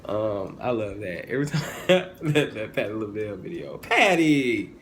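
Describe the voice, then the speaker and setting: A man in his twenties giving a casual, humorous opinion monologue as a vlogger, animated and informal, often digressing and correcting himself. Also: fairly low pitch, normal speed. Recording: clearly noisy, ambient background sound.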